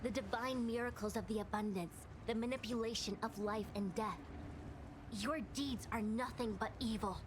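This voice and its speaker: An actress playing a stern, righteous female official in a video game, speaking formally and with measured authority. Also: fairly high pitch, background noise, close to an everyday voice. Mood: righteous, condemning. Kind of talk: dramatic video game voice acting. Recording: game dialogue under loud music.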